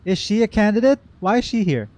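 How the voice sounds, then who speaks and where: A man streaming casual game reaction commentary, expressive and joking, talking back to the characters. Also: high voice, a little fast. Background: game music.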